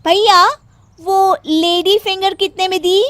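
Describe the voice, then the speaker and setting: Woman playing a haughty high-pitched young bride. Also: steady tone, slow, fairly bright tone, no room sound, heavily acted. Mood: breezy. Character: show-off young village bride, haughty, sprinkles in English.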